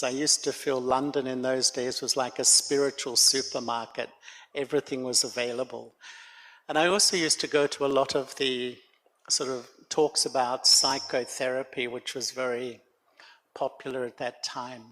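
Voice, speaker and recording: An older man speaks slowly and gently, telling his story in a reflective way. His voice sits at an ordinary pitch. It rings out with long reverb in a hall. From Australia. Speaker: guest speaker. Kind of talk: spiritual talk to a gathering. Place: meditation center hall.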